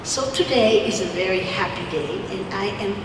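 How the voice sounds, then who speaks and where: Older woman, host type, rambling welcome speech, booming hall reverb, distant PA sound, steady machine hum. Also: normal speed. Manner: rambling, warm-hearted, repeats and restarts words. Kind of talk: informal welcome speech into a handheld mic. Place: large winery production hall with steel equipment, indoors. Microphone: handheld microphone through a PA. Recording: echoey hall heard from far off through a PA.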